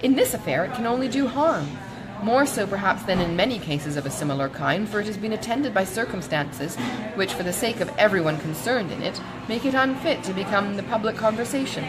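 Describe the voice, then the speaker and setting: A woman voicing a sensible, reserved young gentlewoman in a storybook, speaking in a measured, polite and formal way. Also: mid-range voice, close to an everyday voice, low-quality recording.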